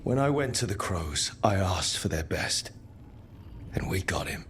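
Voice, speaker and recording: An actor playing a steady, compassionate leader in a fantasy video game, sincere and understated. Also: male voice, sits low, unhurried, slight background noise. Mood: resolute. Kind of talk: dramatic video game acting.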